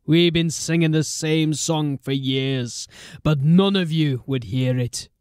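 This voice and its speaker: An actor playing a dying old gang boss in a fantasy audio drama, gruff and sardonic, weak with pain and coughing. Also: male voice, on the high side, slow, darkish tone, no room sound, clean studio sound. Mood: bitter, urgent. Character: dying old male gang boss, defiant, bitter, foul-mouthed.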